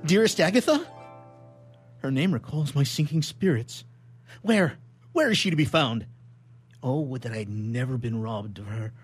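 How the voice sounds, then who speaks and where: An actor playing an anguished, horror-stricken young scientist in a melodramatic radio play, declaiming in a halting, overwrought way. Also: male voice, medium pitch, lively intonation, husky, faint music behind. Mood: longing, agitated. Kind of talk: melodramatic 19th-century stage acting in radio theatre.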